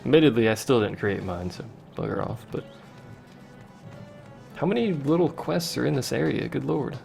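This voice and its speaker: A man's voice: medium pitch, expressive, moderate pace, creaky, darkish tone, soft background music. A young man casually commenting while playing a game on a livestream, answering chat with wry asides. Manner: chatty, reads chat questions aloud, wry asides. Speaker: let's play streamer.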